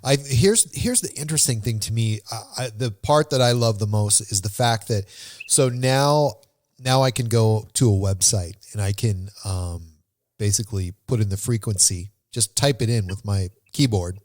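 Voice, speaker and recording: A man hosting a podcast in a casual, conversational way, with a halting, rambling delivery. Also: fairly low pitch, a little mellow, dry recording. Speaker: podcast host.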